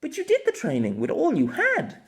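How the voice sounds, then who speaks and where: Man voicing an eager, high-pitched young woman. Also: wildly swooping pitch, normal speed, fairly bright tone, full character voice. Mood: earnest. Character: young woman, eager, teasing, curious, easily flustered.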